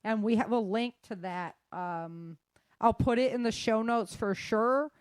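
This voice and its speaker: A woman's voice: fairly high pitch, unhurried, clear, resonant tone, dry recording. Woman, podcast host, conversational, informal news roundup, dry sound with little room. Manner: conversational, addressing listeners informally. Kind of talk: casual news roundup on disasters and weather.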